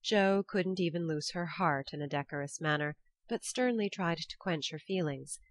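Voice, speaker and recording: A woman's voice: deep voice, normal speed, no room sound. A woman reading scripted storybook narration as an audiobook narrator.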